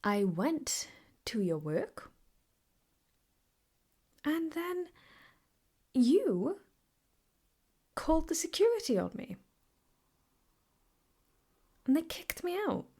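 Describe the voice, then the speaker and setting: Girl doing a possessive yandere ex gf for asmr, kinda high voice, real slow, teasing n creepy sweet, drops into whispers. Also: big pitch swings, dark tone, exaggerated voice. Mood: aggrieved, controlled. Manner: slow, teasing, often slipping into breathy whispers. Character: obsessive possessive yandere ex-girlfriend kidnapper, sweetly menacing. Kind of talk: improvised ASMR roleplay, addressing the listener.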